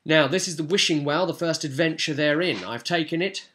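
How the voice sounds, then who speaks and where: Male gaming vlogger, bright forceful voice, informal walkthrough commentary, chatty and animated in his asides. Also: a little high, a little fast. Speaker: tabletop gaming vlogger, game master.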